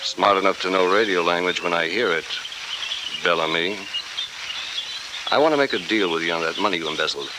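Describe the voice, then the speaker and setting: An American actor playing a tough, shrewd investigator hero in a 1940s radio adventure, clipped, wry and commanding. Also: male voice, low voice, brisk, pauses often, natural voice. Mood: cool, pressing. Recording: noisy old 1940s radio recording.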